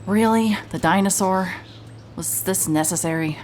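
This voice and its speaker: A woman's voice: measured pace, darkish tone, a little hiss or hum, close to an everyday voice. A woman playing a wry, irritable private investigator in an audio drama, with a dry, blunt, sardonic delivery. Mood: annoyed. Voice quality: low-pitched voice. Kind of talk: cinematic audio drama, noir narration and naturalistic dialogue.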